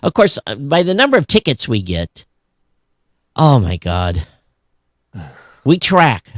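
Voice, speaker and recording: A man's voice: lowish voice, no room sound, studio quality. A man co-hosting a podcast, giving casual commentary on tech news and repeating phrases for emphasis. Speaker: podcast co-host.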